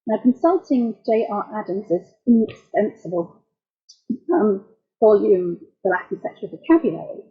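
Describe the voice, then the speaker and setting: A middle-aged woman lecturing as a translator, explaining jokes in a wry, measured way. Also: medium pitch, sing-song, husky, narrow, tinny audio. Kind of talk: academic talk.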